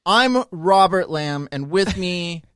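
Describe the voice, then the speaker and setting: A man's voice: high-pitched, lively intonation, slow, a bit bright, clear, resonant tone, dry recording. A man hosting a podcast in a studio, joking and goofy in casual chat. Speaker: podcast host. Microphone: studio mic with headphones.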